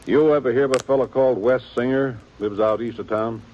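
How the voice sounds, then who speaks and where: An actor playing a weary, dutiful aging small-town sheriff with a plain folksy drawl in a 1950s radio drama. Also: male voice, medium pitch, steady tone, a little fast, mellow, natural voice, poor audio. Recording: old radio broadcast with faint hiss.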